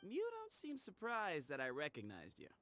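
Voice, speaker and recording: An actor playing the sly, teasing leader of an armed band in a video game, with a glib, mocking, casual manner. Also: male voice, a little high, wildly swooping pitch, normal speed, bright, forceful tone, faint music behind, heavily acted. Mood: probing. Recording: faint background noise.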